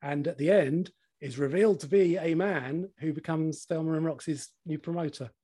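Husky, croaky man in his forties. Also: fairly high pitch, moderate pace, warm tone. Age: 40s. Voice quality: slightly croaky. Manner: chatty, laughs often, swears casually. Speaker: podcast co-host. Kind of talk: casual chat.

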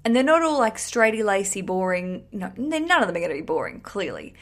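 A woman's voice: medium pitch, sing-song, brisk. A woman hosting a podcast, chatting casually and effusively to listeners in a cheeky, sweary, rambling way. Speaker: podcast host.

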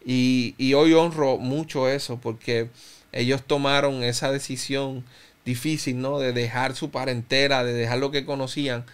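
Reflective man, smooth clear voice. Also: mid-range voice, fairly even intonation. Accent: Cuban Spanish, softened by Puerto Rico. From Cuba. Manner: reflective, halting, many restarts and fillers. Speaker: interview guest. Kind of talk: personal testimony in conversation.

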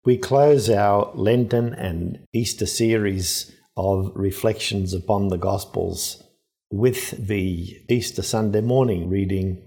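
A man speaking as a priest, giving a measured, explanatory reflection on a Gospel story. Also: lowish voice, warm tone. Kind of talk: reflective sermon commentary on the Gospel.

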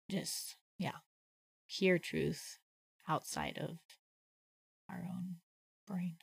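A woman talks slowly and thoughtfully in a relaxed conversation about faith, leaning on "like" as she thinks aloud. Her voice is husky with a gravelly fry and sits a little low. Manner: reflective, thinks aloud with many "like" fillers. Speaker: podcast co-host.

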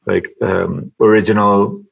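A man from Venezuela, speaking English as a guest on a podcast, halting and repeating words as he thinks aloud. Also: lowish voice, level tone, vocal fry, slightly rough, narrow, tinny audio. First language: Spanish. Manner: halting, many repeated words, soft laughs. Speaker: podcast guest, advertising creative. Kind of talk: casual interview chat.

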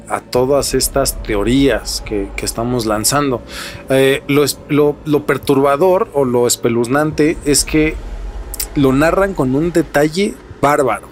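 A man with a Mexican accent explaining things as a podcast host, repeating phrases for emphasis and pausing to find words. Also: on the high side, a little hiss or hum.

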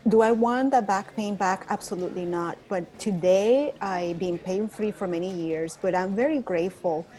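A woman's voice: medium pitch, normal speed, dark tone. Venezuelan-accented woman, earnest guest. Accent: Venezuelan Spanish. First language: Spanish. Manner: earnest, reflective, with restarts and laughs. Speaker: guest, yoga teacher. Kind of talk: casual interview.

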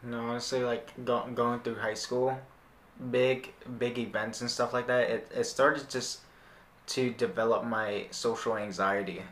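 A young American man in his late teens hosting a casual podcast interview, speaking haltingly with stammered repeats. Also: medium pitch, fairly even intonation, a bit bright, slight background noise. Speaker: podcast host. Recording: roomy, echoing indoor sound.

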